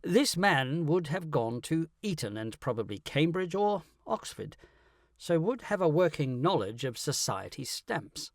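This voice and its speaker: Man voicing an excitable, theatrical gentleman detective. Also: a little high, a little slow, no room sound, clean studio sound. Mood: excited. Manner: commanding, theatrical, precise. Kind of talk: audiobook character acting.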